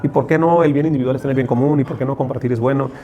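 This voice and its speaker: A man's voice: mid-range voice, fairly even intonation, rapid-fire, muffled recording. A man with a Mexican accent in a conversational interview, explaining motivationally and emphatically with repeated rhetorical questions and lists. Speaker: interview guest. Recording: clear crowd chatter behind.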